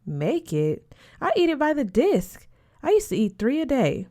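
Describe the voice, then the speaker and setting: A woman's voice: high-pitched, big pitch swings, a little slow, warm tone, voice pushed up. A woman voicing a chatty, easily delighted teenage boy at boarding school, casual and eager.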